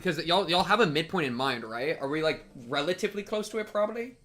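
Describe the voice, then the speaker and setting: A man hosting a live game playthrough, excitable and given to repeating his phrases, bantering with chat and reading game text aloud. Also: a little high, quick pace, soft background music. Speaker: livestream host.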